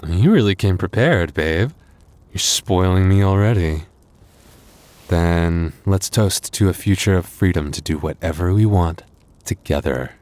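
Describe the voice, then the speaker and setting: A man playing a very deep-voiced werewolf boyfriend. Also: measured pace, no echo. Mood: happy, affectionate.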